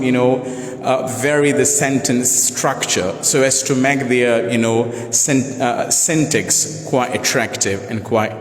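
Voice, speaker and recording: Formal Pakistani-born male teacher, echoey room. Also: mid-range voice. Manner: formal, many fillers like "you know", elaborate vocabulary. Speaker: teacher and session host. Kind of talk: live video discussion.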